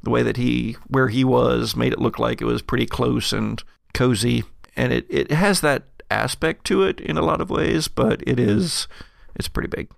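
A man narrating a tabletop game as game master, describing scenes in an improvised, halting way. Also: medium pitch, creaky, dry recording, clean studio sound.

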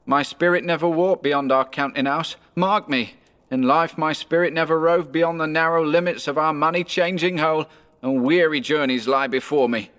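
A man performs a tormented phantom from a storybook, pitched well above a normal male voice. The delivery is solemn and declamatory, heavy with remorse and foreboding, drawn into a mournful wail. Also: steady tone, moderate pace, a bit bright, clean tone, big-room sound, natural voice. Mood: restless, sorrowful. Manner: wailing, grave, solemn declamation.

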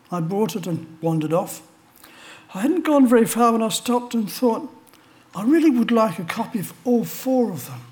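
Older man preaching a chapel sermon. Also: sits high. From Australia. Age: 70s. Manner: storytelling, with dry humorous asides.

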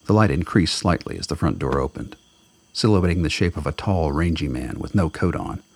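A man narrates a radio play in an extremely deep voice. It is full of gravel and husk. The delivery is scripted, dramatic storytelling. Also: expressive, fairly quick, a little mellow.

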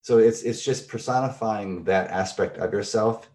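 Low deep voice guy, middle-aged therapist type, explains stuff casually, restarts his sentences. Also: moderate pace, darkish tone. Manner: thoughtful, explains with everyday examples and restarts himself. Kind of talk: conversational explanation of therapy concepts.

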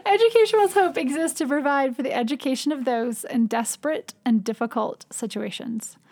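Woman podcast host, high voice, casual and playful. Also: brisk, darkish tone. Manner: chatty, laughing often, teasing.

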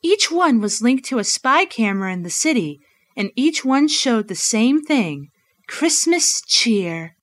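A woman's voice: a little high, very animated, unhurried, no echo. A woman narrating a radio play in a scripted storybook style. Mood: storytelling. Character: storybook narrator of a holiday tale.

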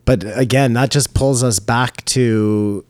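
A man's voice: medium pitch, fairly even intonation, takes it slowly, no room sound. A man co-hosting a podcast, chatting casually in a blunt, rambling, sweary way. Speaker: podcast co-host. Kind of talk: casual banter.

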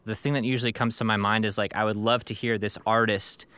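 A man's voice: on the low side, flat delivery, fast talker, pushed and bright, smooth. A man co-hosting a podcast, chatting casually as a musician. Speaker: podcast co-host, drummer and songwriter. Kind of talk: casual chat about favorite bands.

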